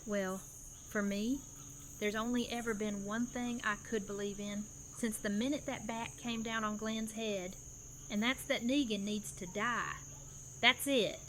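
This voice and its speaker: A woman's voice: medium pitch, fairly even intonation, unhurried, fairly bright tone, slight background noise, close to an everyday voice. An actress voicing a grieving, determined woman leader who speaks firmly. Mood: resolute. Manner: firm, resolute.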